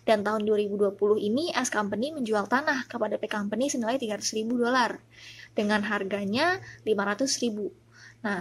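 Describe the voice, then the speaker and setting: A young Indonesian woman tutor with a fairly high voice that has a husky, unclear edge. She explains step by step in a methodical lecture style, slipping English technical terms into her sentences. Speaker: accounting tutor. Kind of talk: instructional lecture, semi-scripted explanation.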